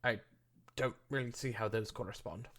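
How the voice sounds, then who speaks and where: A man's voice: lowish voice, moderate pace, a bit bright. A man voicing an impatient, skeptical, brash young female pegasus pony with dry, pointed questions.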